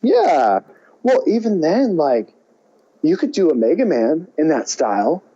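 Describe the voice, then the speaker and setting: Animated, halting man with a mellow voice. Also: fairly high pitch, husky, lo-fi sound. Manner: hesitant, halting, thinking aloud. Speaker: podcast co-host. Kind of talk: casual chat about movies and games.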